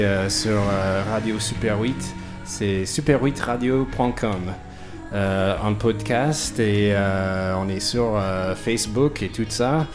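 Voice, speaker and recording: Halting male radio host, music behind. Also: fairly low pitch. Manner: halting, searching for words. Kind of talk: casual spoken sign-off.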